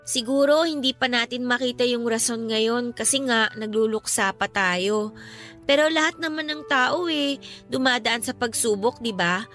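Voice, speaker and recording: A high, bright young female voice , an actress at work plays a devout sister in mourning. She speaks earnestly and reassuringly, in the manner of a Filipino radio drama, with music underneath. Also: moderate pace, close to an everyday voice. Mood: earnest consoling. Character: grieving, devout younger sister consoling her older friend.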